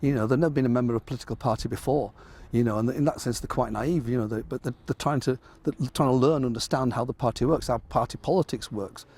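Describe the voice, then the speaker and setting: Husky, quick-talking man. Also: medium pitch, darkish tone. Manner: halting, repeats words. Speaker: long-standing party member. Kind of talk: interview answers.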